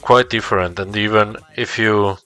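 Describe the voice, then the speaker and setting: A man's voice: fairly low pitch, steady tone, normal speed, a bit bright, smooth. A man from Spain whose native language is Spanish, chatting casually and searching for his words as he goes. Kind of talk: casual chat.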